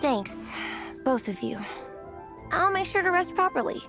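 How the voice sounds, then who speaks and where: A woman's voice: very high-pitched, very animated, measured pace, gravelly, music in the background, exaggerated voice. A woman voicing an earnest young comic-artist girl in a video game, insecure but determined, with a hesitant, heartfelt way of explaining herself. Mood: surprised, grateful.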